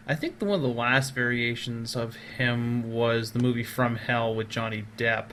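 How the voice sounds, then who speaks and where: A man's voice: medium pitch, normal speed, a bit bright. A man hosting a podcast in casual, conversational chat. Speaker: podcast host. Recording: noticeable background noise.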